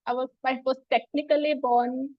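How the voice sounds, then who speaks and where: A woman's voice: high voice, flat delivery, moderate pace, dry recording, muffled recording. A woman in her thirties from India, a psychologist giving a hesitant self-introduction full of fillers and restarts. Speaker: psychologist presenting about herself. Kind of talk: casual self-introduction talk.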